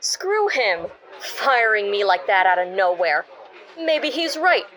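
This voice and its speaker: A woman's voice: medium pitch, big pitch swings, slow, a bit bright, a little hiss or hum. A woman acting the part of a distraught, defiant and sarcastic woman in an audio drama. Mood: agitated. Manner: emotional, swearing, bitingly sarcastic. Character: distraught, defiant woman haunted by a traumatic night.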